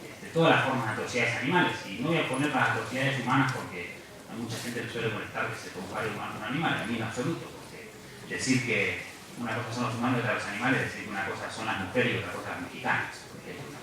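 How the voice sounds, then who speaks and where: A man's voice: on the low side, fast, recorded from a distance, background noise. A man from Argentina giving a public lecture, speaking earnestly and reflectively, often restarting his phrases. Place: large university lecture hall. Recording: very echoey hall, voice off-mic.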